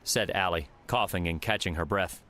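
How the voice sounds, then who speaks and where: A man's voice: sits low, moderate pace, sharp tone. A man reading scripted audiobook narration as the storyteller.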